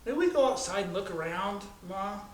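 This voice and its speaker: A man's voice: high voice, lively intonation, normal speed, voice pushed up. An American man voicing an eager, headstrong little farm girl from a children's storybook, exclaiming in childlike phrases. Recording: echoey, distant, noisy room recording.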